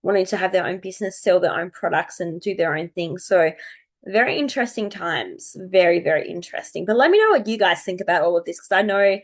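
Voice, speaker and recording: Croaky woman chatting casually. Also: lowish voice, expressive, fairly quick. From Australia. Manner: chatty, rambling, thinking aloud. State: croaky from a cold. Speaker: astrology video host. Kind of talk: casual unscripted chat to viewers.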